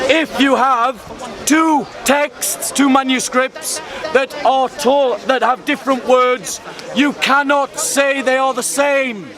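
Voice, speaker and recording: A man in an outdoor street debate, speaking in an emphatic, measured, lecturing way. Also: very high voice. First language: English. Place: outdoor public speakers' corner. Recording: crowd noise outdoors. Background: crowd and traffic.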